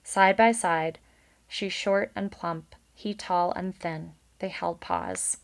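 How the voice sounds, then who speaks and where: A woman's voice: lowish voice, fairly even intonation, slow pace, vocal fry. A woman reading a children's book aloud as a storyteller and commenting on it casually.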